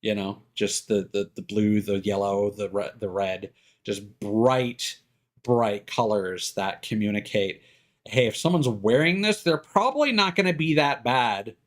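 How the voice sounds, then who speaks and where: Low-pitched man rambling on a livestream. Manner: halting, circling back and repeating words. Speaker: live streamer. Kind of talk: casual opinionated rambling on a livestream.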